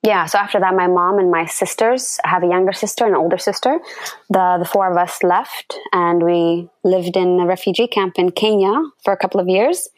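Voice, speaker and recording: A woman in her late twenties, born in Somalia and raised in Canada, speaking calmly and reflectively as a guest on a remote call. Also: on the low side, a little fast, dry recording, poor audio. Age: late 20s. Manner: reflective, calm, occasional ums. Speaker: guest, activist. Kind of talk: casual interview.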